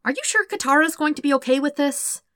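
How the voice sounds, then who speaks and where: A woman voicing a cheerful, excitable twelve-year-old airbender boy in an audiobook story. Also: high voice, fast, no room sound, clean studio sound, voice pushed up. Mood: doubtful. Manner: excitable, eager, questioning.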